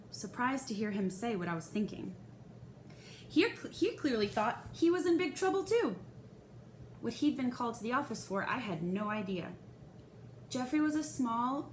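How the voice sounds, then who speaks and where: Echoey, expressive woman reading a story aloud. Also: fairly high pitch. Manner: expressive, dramatizes the young narrator's feelings and dialogue. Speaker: reader of a children's novel. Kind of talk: read-aloud storytelling, first-person narration. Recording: echoey room with faint hiss.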